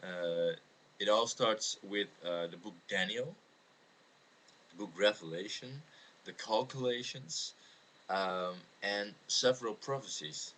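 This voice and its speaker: A man with a Dutch accent explains his views in a halting, earnest way, speaking unscripted into a webcam. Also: fairly low pitch, a bit bright. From the Netherlands. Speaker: amateur video maker answering a viewer's question. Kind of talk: unscripted spoken video response on religion. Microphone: webcam microphone. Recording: noisy home webcam recording.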